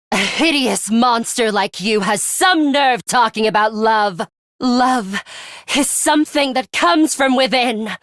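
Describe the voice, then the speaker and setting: A woman's voice: a little high, dry recording, clean studio sound. A woman voicing a cold, ruthless young female fighter in an English video game, composed, blunt and commanding, with a bloodthirsty streak. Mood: sadistic relish.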